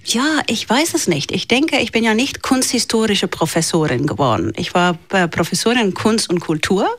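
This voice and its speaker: Flemish museum director in her forties, non-native speaker, thoughtful with many pauses, dry recording. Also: mid-range voice, very animated, dry recording. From Belgium. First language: West Flemish. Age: 40s. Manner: thoughtful, frequent hesitations. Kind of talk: interview answers.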